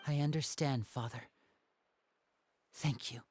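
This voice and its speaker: An actor playing a loyal, determined young man in a video game, with a husky voice and an earnest, resolute manner. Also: mid-range voice, steady tone, slow pace, a little hiss or hum, close to an everyday voice. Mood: grateful, resolute. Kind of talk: dramatic English game voice acting.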